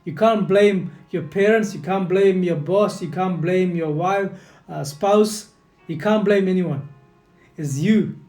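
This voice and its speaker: A man whose first language is not English, giving an earnest motivational leadership lesson and speaking straight to the listener with lots of repetition. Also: high voice. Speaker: leadership coach. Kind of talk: motivational teaching talk. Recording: echoey room with soft guitar music.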